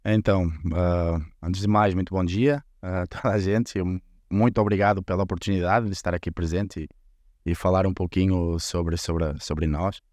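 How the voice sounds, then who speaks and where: A middle-aged man from Portugal whose accent blends Portuguese and Brazilian, talking as a guest in a relaxed, rambling way with frequent restarts. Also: sits low, normal speed, dry recording, clean studio sound. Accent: Portuguese mixed with Brazilian. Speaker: company sales director, guest. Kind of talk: interview conversation.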